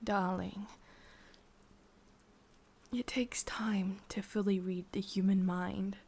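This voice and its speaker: A woman with an English accent voicing a possessive, sadistic witch in a slow, breathy ASMR roleplay whisper. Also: medium pitch, level tone, mellow. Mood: smug, teasing. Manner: slow, intimate, teasing. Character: sadistic, possessive witch, softly menacing and doting.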